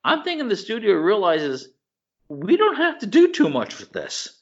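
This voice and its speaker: A man co-hosting a podcast, chatting casually and enthusiastically, halting and searching for words as he rambles. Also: high voice, wildly swooping pitch. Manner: informal, enthusiastic. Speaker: podcast co-host. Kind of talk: casual chat about films and TV shows. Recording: slightly dull, lo-fi podcast audio.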